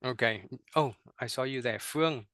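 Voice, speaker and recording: A man's voice: medium pitch, normal speed, fairly bright tone, no echo, studio quality. A young man with a Vietnamese accent leading an online teacher workshop, with many fillers and repeated words, often checking in with "right?". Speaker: teacher trainer.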